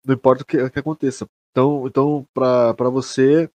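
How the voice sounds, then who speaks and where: Young Brazilian man, mid-pitched, slangy. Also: little pitch movement, fast, mellow, no echo, lo-fi sound. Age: young adult. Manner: slangy, swearing. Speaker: podcast co-host. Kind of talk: casual chat.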